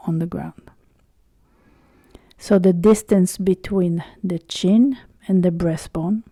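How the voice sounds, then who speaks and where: A woman guiding a meditation in a soothing, unhurried way, pausing often between short phrases. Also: lowish voice, dark tone, dry recording, clean studio sound. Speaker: meditation guide. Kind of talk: slow guided body-scan meditation.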